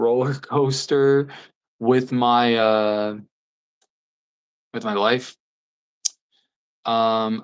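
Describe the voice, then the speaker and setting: A 24-year-old livestreamer talking in a casual, candid and rambling way, with soft laughs here and there. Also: medium pitch, clear, resonant tone. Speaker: YouTube livestreamer.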